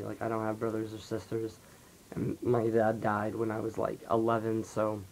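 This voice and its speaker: Raspy teen guy rambling softly into his vlog, low voice, lots of "you know"s. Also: low-quality recording. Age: late teens. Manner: soft-spoken, rambling, heavy on fillers. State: raspy, dry throat. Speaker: vlogger. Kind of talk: confessional casual monologue.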